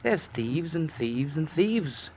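A man's voice: on the high side, big pitch swings, slow, a little mellow, heavily acted. An actor playing a naive, eager young apprentice seaman, hesitant and guileless, in an old radio drama. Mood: naive boasting. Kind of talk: 1950s radio drama acting. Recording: old radio recording with hiss.

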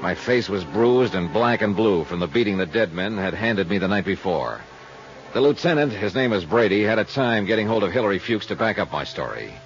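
An actor playing a hard-boiled, no-nonsense insurance investigator in a 1950s radio drama, speaking tersely and matter-of-factly. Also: male voice, lowish voice, lively intonation, brisk. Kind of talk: 1950s radio detective acting and narration. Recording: muffled old radio recording with music.

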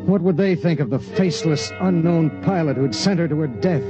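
A man's voice: on the high side, fairly quick, natural voice. An American actor playing a grave, haunted spaceship pilot who narrates his own story in terse, brooding style in a 1950s radio drama. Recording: muffled old radio recording with background music.

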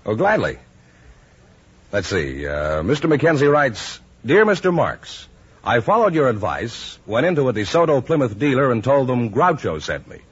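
An American man with a husky voice reading scripted sponsor copy in 1950s radio advertisement style. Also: medium pitch, sing-song, moderate pace. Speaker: announcer reading a sponsor letter. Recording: muffled old radio recording.

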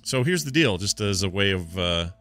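A man's voice: sits low, lively intonation, normal speed, a bit bright. A man hosting a podcast, chatting loosely and rambling a little as he wraps up the episode. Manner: loose and rambling, many fillers. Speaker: podcast host. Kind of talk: casual chat, wrapping up a tabletop game show.

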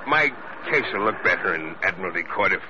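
An actor playing an old, stubborn, drunken sea captain, rambling and halting in salty seafaring talk, in 1940s radio drama style. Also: male voice, low voice, expressive. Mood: earnest pleading. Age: older. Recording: noisy, muffled old radio drama recording.

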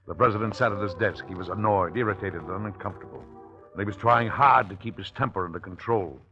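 Gravelly vintage radio narrator, male. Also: fairly low pitch, fast talker, sharp tone, soft background music. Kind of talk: old-time radio scripted narration. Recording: dull, hissy old-time radio recording.

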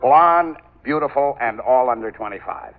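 An actor playing a gruff, harried police commissioner, blustering and impatient, in an old-time radio drama. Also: male voice, mid-range voice, animated, moderate pace, natural voice. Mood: grim, urgent. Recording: dull old radio recording.

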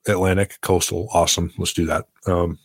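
Podcast co-host, male, very low husky voice, measured, analytical delivery. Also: flat delivery, dry recording. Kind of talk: casual sports betting chat.

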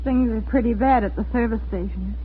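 A woman's voice: fairly high pitch, brisk, lightly acted. A woman playing an eager, plucky young daughter in a 1940s radio drama, earnest and gushing. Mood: concerned. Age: young adult. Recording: tinny, noisy 1940s radio recording.

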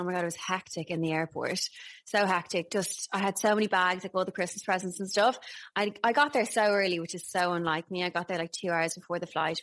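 A woman's voice: medium pitch, a bit bright. An Irish woman co-hosting a podcast, telling stories in casual chat and laughing often. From Ireland. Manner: chatty, laughing, storytelling. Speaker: podcast co-host.

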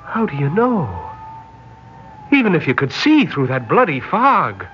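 Earnest young sailor, wide-swinging pitch. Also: male voice, unhurried, slight background noise. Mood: shocked. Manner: earnest, expressive, dramatic. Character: earnest young male sailor, grateful, sentimental son. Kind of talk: classic radio drama acting. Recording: dull old radio recording with music.

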